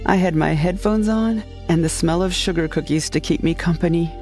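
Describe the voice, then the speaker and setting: A woman narrating a scripted first-person comedic sci-fi audio drama in a deadpan storytelling manner. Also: lowish voice, a little fast. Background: music.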